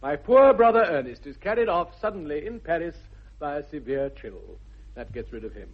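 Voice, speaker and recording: Earnest upper-class English young gentleman, animated and emphatic, muffled vintage radio play recording. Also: fairly high pitch, slightly rough. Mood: brisk, defensive. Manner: brisk, emphatic, theatrical. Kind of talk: 1960s BBC radio comedy acting.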